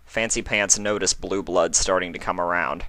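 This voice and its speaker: A man's voice: sits low, fairly even intonation, moderate pace, bright, forceful tone, no room sound. A man narrating a scripted story as a reader.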